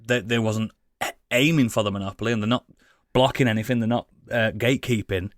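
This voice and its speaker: A man's voice: mid-range voice, fast, no room sound. Blunt British man, dry close sound. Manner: blunt, sweary, opinionated. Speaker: podcast co-host. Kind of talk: casual gaming podcast banter.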